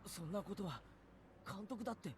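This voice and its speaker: An actor playing an earnest, excitable teenage boy in a Japanese visual novel, animated and urgent. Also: high-pitched, racing, noisy background. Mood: hesitant.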